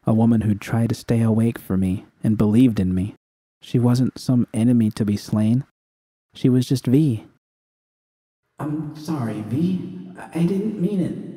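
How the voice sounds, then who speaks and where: A man's voice: lowish voice, mellow, no room sound. A man reading dramatic first-person fiction as an audiobook narrator.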